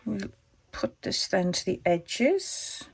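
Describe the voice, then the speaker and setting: A woman with a croaky voice, thinking aloud as she demonstrates a casual craft tutorial. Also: mid-range voice, sing-song, slow pace, no room sound. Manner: chatty, thinks aloud while working. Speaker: crafter demonstrating junk journal covers.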